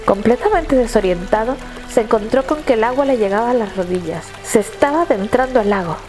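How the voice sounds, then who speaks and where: A woman narrator reading a scripted dramatic story in a measured, literary storytelling manner. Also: a little high, lively intonation, a little mellow, soft background music.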